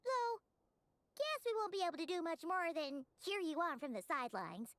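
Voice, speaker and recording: A woman's voice: very high-pitched, lively intonation, normal speed, fairly bright tone. An actress voicing a small, cheeky female fairy companion who talks about herself in the third person, in game dialogue. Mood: chirpy. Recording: game audio with faint music behind.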